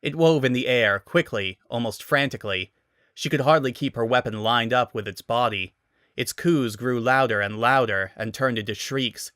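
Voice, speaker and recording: A man's voice: medium pitch, moderate pace, a bit bright, dry recording, clean studio sound. A man giving a dramatic scripted narration of a story.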